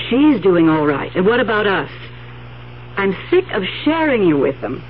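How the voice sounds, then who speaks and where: Actress as fed-up nagging wife, lively pitch. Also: female voice, normal speed, natural voice. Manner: nagging, emphatic. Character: fed-up, demanding wife with a sharp temper. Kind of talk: 1970s radio drama acting. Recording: tinny radio broadcast, faint hum.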